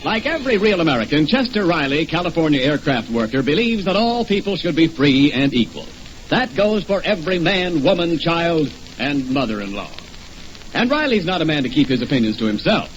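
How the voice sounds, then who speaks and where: An actor playing an earnest, formal 1940s radio announcer with a declamatory delivery. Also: male voice, fairly high pitch, sing-song, brisk, lo-fi sound, soft background music. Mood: earnest, grand.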